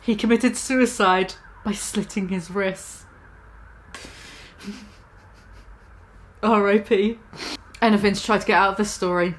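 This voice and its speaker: A woman's voice: mid-range voice, fairly even intonation, slow, long pauses. A young woman giving a comic dramatic reading of a story, mock-dramatic and giggly, often breaking into laughter. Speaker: YouTuber.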